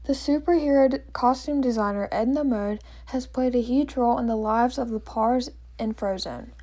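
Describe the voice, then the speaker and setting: A woman narrating a scripted story, reading it aloud in a plain, measured way. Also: on the high side, warm tone.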